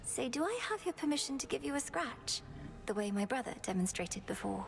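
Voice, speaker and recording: An actress playing a determined, guarded young Underworld princess in a video game cutscene, earnest, formal and self-possessed. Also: female voice, sits high, animated, fairly quick, gravelly. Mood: fond.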